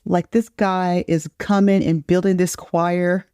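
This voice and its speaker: A woman's voice: fairly low pitch, flat delivery, slow, mellow, smooth, no echo, clean studio sound. A woman co-hosting a podcast, reacting and bantering casually in a playful, joking way. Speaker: podcast co-host.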